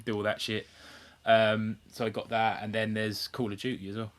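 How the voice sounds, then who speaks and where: A man's voice: lowish voice, completely flat delivery, normal speed, crowd noise. A British man co-hosting a podcast in casual chat, speaking in a laid-back, sweary way with lots of "like". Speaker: podcast co-host. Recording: internet call recording.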